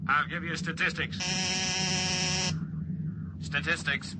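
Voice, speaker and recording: Flat, impersonal space command radio operator. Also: male voice, fairly high pitch, slow, very noisy. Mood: businesslike, detached. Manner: clipped, official monotone. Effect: distant radio transmission. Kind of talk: 1950s radio drama acting. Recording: dull old radio recording, loud music behind.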